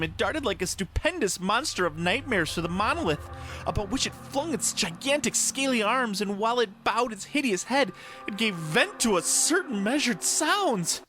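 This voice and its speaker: A man's voice: very high voice, sharp tone, voice pushed up, heavily acted. A man voicing a haunted, morphine-addicted shipwreck survivor in an anguished, theatrical horror-story confession. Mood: horrified awe. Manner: theatrical, anguished, ornate first-person confession. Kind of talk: dramatic audio horror storytelling.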